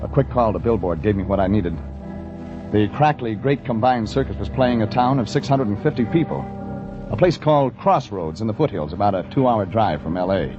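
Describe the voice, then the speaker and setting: A man's voice: lowish voice, animated, brisk, over music, lightly acted. A man playing a terse, dry, wisecracking private detective in an old-time radio drama. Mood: wry, narrating. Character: wry, hard-boiled male private detective narrator. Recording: muffled old radio playback in moving vehicle.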